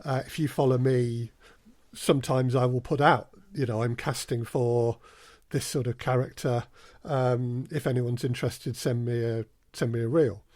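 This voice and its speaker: A man speaking as a podcast guest in a casual interview, explaining things in a measured, hesitant way with repeated phrases. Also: medium pitch, fairly even intonation, darkish tone, no echo. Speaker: podcast guest, audio drama producer and casting director.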